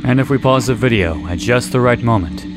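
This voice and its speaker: A man delivers scripted video essay narration over clearly audible music. His voice sits at an average pitch, and he speaks deliberately, leaving dramatic pauses between phrases. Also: animated. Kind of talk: scripted narration, horror series analysis.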